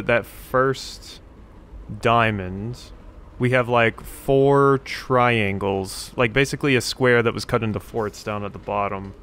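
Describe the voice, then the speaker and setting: A man's voice: medium pitch, fairly bright tone, clean tone. A man giving casual game commentary, musing to himself as he works things out. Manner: thinking aloud, musing to himself. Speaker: let's play host.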